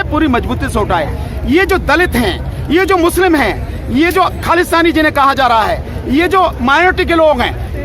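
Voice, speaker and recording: A man giving a forceful, rhetorical interview at an outdoor protest, repeating his points for emphasis. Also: extremely high pitch. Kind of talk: impassioned street interview. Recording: loud crowd outdoors.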